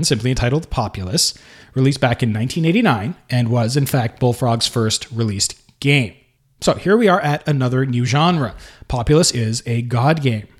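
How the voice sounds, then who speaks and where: A male podcast host with a plain voice, neither high nor low. He talks off the cuff, stopping to rephrase and fill gaps as he thinks aloud. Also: husky. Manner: unscripted, halting, many fillers and restarts. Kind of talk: casual solo podcast talk.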